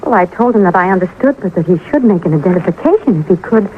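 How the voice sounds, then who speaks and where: An actress playing a sad but composed young widowed mother in a 1950s radio drama, speaking in a measured, hesitant, restrained way. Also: lowish voice, animated, lightly acted. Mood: troubled. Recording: noisy narrow-band old radio recording.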